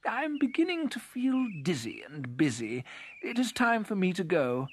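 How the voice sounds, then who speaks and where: A woman voicing a sleepy, cheerful female beetle in a gentle, dreamy, lilting way for a children's story. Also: on the low side, big pitch swings, measured pace, slight background noise, pitched above the performer's own voice. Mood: sleepy, eager.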